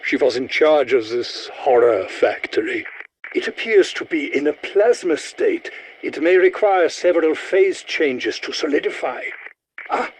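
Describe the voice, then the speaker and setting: An erudite old scientist in a video game, fussy and sardonic. His fairly high voice swoops about with lively pitch, and he picks through long formal phrases slowly, over faint noise. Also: poor audio. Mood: musing. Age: older. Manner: formal, wordy, dryly sardonic. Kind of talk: video game character voice lines.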